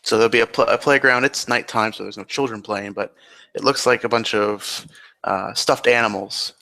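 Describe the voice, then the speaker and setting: A man improvising descriptive scene-setting as game master of a tabletop roleplay, with hesitant pauses. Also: on the low side, steady tone, vocal fry, pushed and bright.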